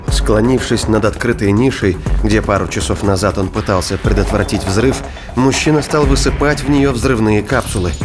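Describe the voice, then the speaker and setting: Male sci-fi audiobook narrator over music. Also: fairly low pitch. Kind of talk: scripted dramatic audiobook narration. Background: clear music.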